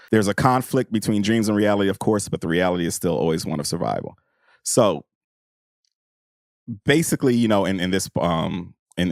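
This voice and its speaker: Low-voiced man, podcast co-host. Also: gravelly, no room sound, studio quality. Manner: informal, peppered with slang and "you know". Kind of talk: casual book discussion and reading passages aloud.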